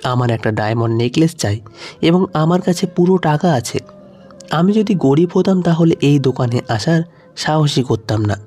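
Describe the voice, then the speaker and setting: A man's voice: fairly high pitch, animated, normal speed, warm tone, soft background music, natural voice. A man voicing a humble, pleading old mother in a story, meek and polite.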